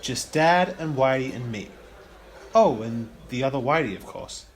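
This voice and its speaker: An amateur actor playing an awkward, placating college student son in a radio play, hesitant, plain-spoken and apologetic. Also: male voice, medium pitch, lively intonation, measured pace, slight background noise, natural voice. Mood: earnest.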